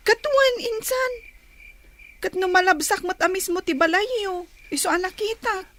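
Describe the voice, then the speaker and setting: Actress as schoolgirl daughter, Ilocano accent, tiny very high pitch, dutiful, radio drama acting. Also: normal speed, a bit bright. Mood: questioning.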